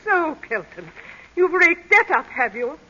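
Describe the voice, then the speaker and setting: Haughty, bright-voiced society aunt. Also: female voice, high-pitched, big pitch swings, unhurried, full character voice. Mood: indignant. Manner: imperious, indignant, commanding. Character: haughty, sharp-tongued aristocratic aunt. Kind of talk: old-time radio comedy of manners acting. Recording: muffled vintage radio broadcast.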